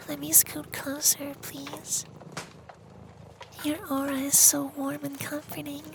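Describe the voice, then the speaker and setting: A performer voicing a gentle, soothing femboy vampire in a soft, half-whispered ASMR roleplay. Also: sits high, background noise. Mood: blissful, soothing. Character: gentle femboy vampire who senses emotions, soothing, wistful.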